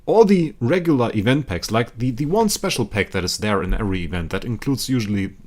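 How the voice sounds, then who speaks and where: A young German man chatting casually with viewers while streaming a game, rambling and repeating himself, laughing often and breaking into sudden excited outbursts. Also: medium pitch, a little fast. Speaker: livestreaming gamer.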